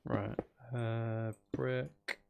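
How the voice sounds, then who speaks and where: Creaky-voiced man musing over a game. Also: mid-range voice, flat delivery, drawn-out words, lo-fi sound, noisy background. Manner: musing, thinking aloud to viewers. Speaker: game streamer. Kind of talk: casual livestream gaming commentary.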